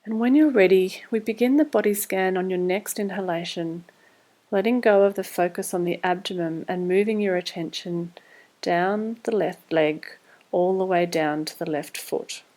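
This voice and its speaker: A woman leading a guided body scan meditation, giving scripted instructions in a calm, measured, soothing way. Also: on the low side.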